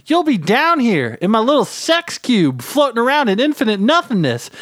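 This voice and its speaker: A man's voice: extremely high pitch, huge pitch swings, moderate pace, no room sound, clean studio sound, pitched above the performer's own voice, full character voice. A man voicing a crude, bossy little trash demon who taunts and demands in a comic horror story. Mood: demanding, menacing. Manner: shouting, taunting, needy, bossy. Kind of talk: comedic horror storytelling character voice.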